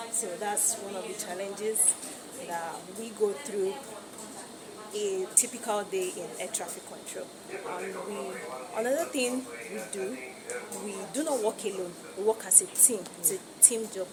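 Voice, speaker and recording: A woman with a Nigerian accent explains her work as an air traffic controller in an interview. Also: medium pitch. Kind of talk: interview answers explaining the job. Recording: loud chatter of other voices behind.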